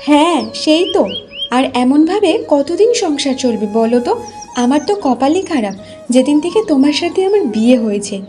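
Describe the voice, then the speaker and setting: Worried cartoon wife, played by a woman: high pitch, insistent, complaining, over faint music. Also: lively intonation, brisk, warm tone. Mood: exasperated. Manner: reproachful, insistent. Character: worried, nagging wife of a poor villager. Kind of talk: cartoon story dialogue.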